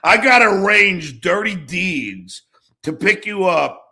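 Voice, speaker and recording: A man's voice: sits high, expressive, takes it slowly, pushed and bright. A man hosting a casual talk show, rambling and ranting with lots of swearing and repetition. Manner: foul-mouthed, rambling, repeats himself. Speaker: show host.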